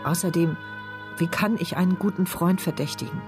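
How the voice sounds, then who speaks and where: A woman's voice: lowish voice, normal speed, natural voice. An actress as a brooding woman, music behind. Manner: intimate, brooding, questioning. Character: anxious, sharp-minded injured woman thinking to herself. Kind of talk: German radio play, inner monologue.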